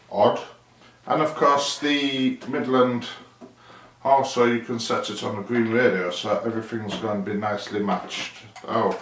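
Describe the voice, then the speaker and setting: A man giving a casual hands-on demonstration, thinking aloud in a rambling, self-deprecating way. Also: medium pitch. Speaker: amateur DIY video maker. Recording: echoey, distant mic with hiss.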